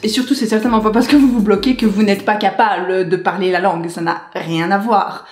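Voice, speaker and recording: A woman in her twenties vlogging to camera in a chatty, rambling, animated way, gesturing as she talks. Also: mid-range voice, a little fast. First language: French. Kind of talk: casual chat to camera. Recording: echoey room with faint music.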